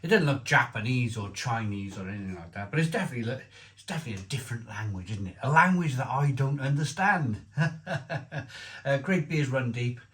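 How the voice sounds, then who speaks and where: A man's voice: medium pitch, animated, brisk, a bit bright. Rambling man reviewing beer. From Wales. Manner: chatty, rambling with many restarts and asides. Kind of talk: casual beer review to camera.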